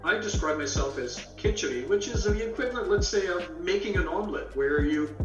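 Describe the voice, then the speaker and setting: A man's voice: high voice, animated, a little fast, lo-fi sound, over music. Distant echoey man telling stories. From born in England, raised in Canada. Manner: explains with extended metaphors, acts out quoted dialogue. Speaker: author and guest. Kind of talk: storytelling interview answers.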